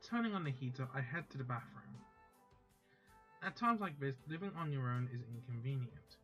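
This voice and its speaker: A man casually reading a visual novel's text aloud, steady but stumbling and correcting himself now and then. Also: mid-range voice, moderate pace. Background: faint background music.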